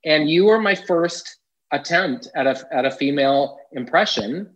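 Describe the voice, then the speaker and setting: A man's voice: fairly high pitch, expressive, unhurried. A Canadian man, an actor guest on a chat show, wry and self-deprecating. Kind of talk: casual interview chat. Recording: dull lo-fi sound.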